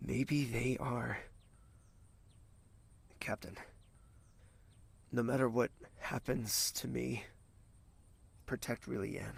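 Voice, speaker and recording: A man playing a brooding, commanding prince in an audio drama, with a measured, terse and guarded delivery. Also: medium pitch, steady tone, creaky, breathy edge, exaggerated voice. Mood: foreboding.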